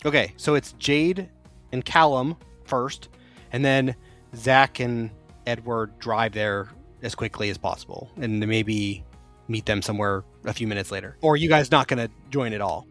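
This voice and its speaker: Male game master, mid pitch, rules explainer for a tabletop session, with faint background music. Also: normal speed, fairly bright tone.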